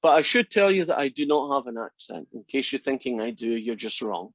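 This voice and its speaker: A man's voice: medium pitch, big pitch swings, brisk, dry recording. A Scottish man in his sixties introduces his math course with plenty of teasing. His voice sits in an ordinary range and is picked up dry and close. The sound is a little muffled and lo-fi. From Scotland. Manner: teasing, joking. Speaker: math instructor. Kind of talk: class introduction. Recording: slightly dull lo-fi sound.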